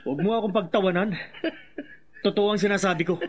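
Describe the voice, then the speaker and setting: High-voiced frightened man played by an actor, radio drama. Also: fairly even intonation, fast talker, muffled recording, very noisy, natural voice. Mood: insistent, fearful. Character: frightened, guilt-ridden man fearing a deadly threat. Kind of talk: Filipino radio drama acting.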